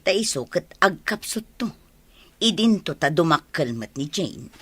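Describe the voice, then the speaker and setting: Kind, concerned village wife. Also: female voice, low voice, very animated, measured pace, husky, dry recording, exaggerated voice. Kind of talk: Filipino radio drama acting.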